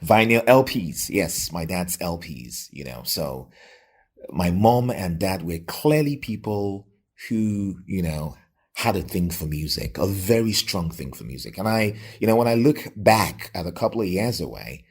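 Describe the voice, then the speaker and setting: Deep-voiced Nigerian man, slightly husky. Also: very animated, measured pace. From Lagos, Nigeria. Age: middle-aged. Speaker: radio presenter. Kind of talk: reflective personal storytelling in an interview.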